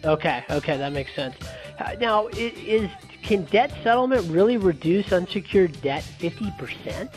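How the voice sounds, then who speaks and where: A man's voice: sits high, lively intonation, moderate pace, soft background music. A man hosting a promotional conference call interview, prompting his guest with short approving reactions and questions.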